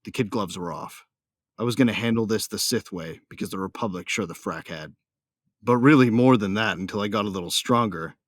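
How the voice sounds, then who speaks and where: A man's voice: fairly low pitch, brisk, dry recording, studio quality, natural voice. An actor playing a brooding, hot-tempered male bounty hunter with a tough, slangy manner, narrating an audio drama in the first person.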